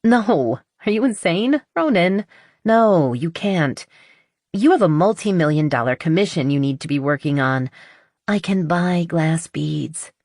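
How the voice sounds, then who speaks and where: A woman's voice: fairly low pitch, expressive, unhurried, a little mellow, no echo, studio quality. Woman narrator as a spirited, chatty female artist. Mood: playful protest. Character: spirited, chatty young female paper artist, playfully stubborn. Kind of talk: audiobook character dialogue.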